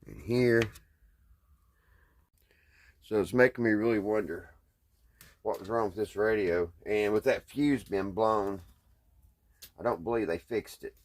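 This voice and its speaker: A man's voice: on the low side, a little slow, long pauses. A man with a Southern US accent giving casual, hands-on repair commentary in a folksy, hesitant way, thinking aloud as he goes. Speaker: vlogger, radio restoration hobbyist.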